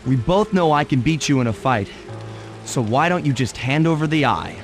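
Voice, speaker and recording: A man's voice: medium pitch, lively intonation, moderate pace, soft background music, natural voice. An actor voicing a confident, principled young male thief hero in a cartoon game. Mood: confident challenge.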